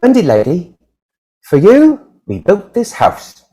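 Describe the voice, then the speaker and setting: A woman's voice: lowish voice, huge pitch swings, slow pace, noisy background, exaggerated voice. A woman playing an awed, earnest young boy in an audio play.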